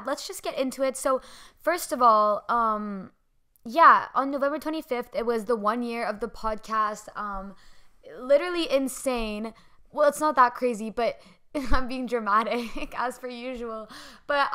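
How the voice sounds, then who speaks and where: A young woman hosting her solo podcast, a little hoarse, chatting casually with lots of fillers and self-deprecating asides. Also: a little high, bright, forceful tone. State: hoarse from screaming the day before. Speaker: podcast host.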